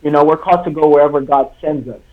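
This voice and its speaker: A young man from Congo, a native French speaker, talking as a guest author on a video call, earnest and halting. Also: fairly high pitch. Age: young adult. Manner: earnest, halting, many fillers and restarts. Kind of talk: live online interview. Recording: tinny video call line.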